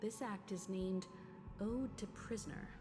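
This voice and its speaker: Woman acting an unhurried, composed game companion. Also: mid-range voice, close to an everyday voice. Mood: thoughtful. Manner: composed, thoughtful. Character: calm, analytical female travelling companion. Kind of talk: scripted video game dialogue. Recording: reverberant, music behind.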